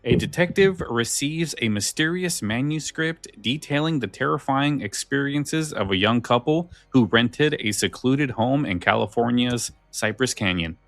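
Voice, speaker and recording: A man's voice: mid-range voice, lively intonation, normal speed, gravelly, few pauses, fairly bright tone, no echo. A man co-hosting a podcast, chatting casually in an emphatic, enthusiastic way. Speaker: podcast co-host. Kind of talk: casual chat about scary stories.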